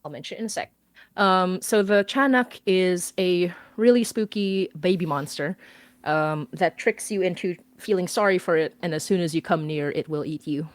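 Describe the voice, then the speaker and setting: A giggly, enthusiastic woman in her thirties from the Philippines chats on a casual stream. Her voice sits a little low for a woman. It sounds dry and close to the mic. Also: normal speed, no room sound. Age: 30s. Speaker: podcast host and guest writer.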